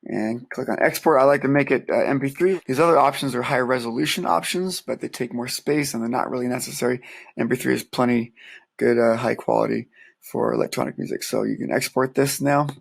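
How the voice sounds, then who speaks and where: A man teaching music, calmly talking through a software tutorial step by step with a soft voice. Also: mid-range voice, a little fast, creaky, breathy edge. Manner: calm step-by-step explaining, counting aloud. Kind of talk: screen-recorded software tutorial.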